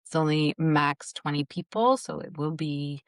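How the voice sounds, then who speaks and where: An earnest woman talks thoughtfully and often restarts her sentences. Her voice is smooth and clear, and the sound is dry and close. She speaks as a guest on a remote call. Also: low-pitched, a little slow, no room sound. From Massachusetts, USA. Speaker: guest, retreat leader. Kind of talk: casual conversation.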